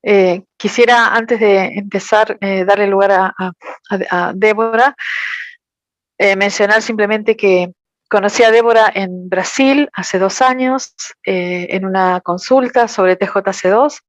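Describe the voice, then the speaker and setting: A woman's voice: mid-range voice, fairly even intonation, no room sound. Woman interpreting, dry sound. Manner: careful, measured. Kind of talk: consecutive interpretation.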